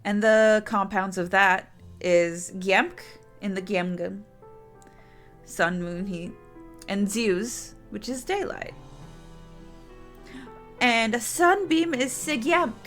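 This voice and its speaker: A woman streamer talks to her viewers at an unhurried pace, her pitch sitting in an ordinary middle range. She rambles and restarts sentences as she goes. Faint music plays underneath. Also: a bit bright. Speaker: game streamer. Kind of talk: casual chat to stream viewers.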